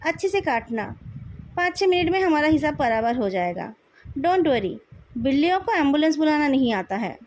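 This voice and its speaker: An Indian-accented woman voicing a young male company president in a novel, calm, measured and coolly taunting. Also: high voice.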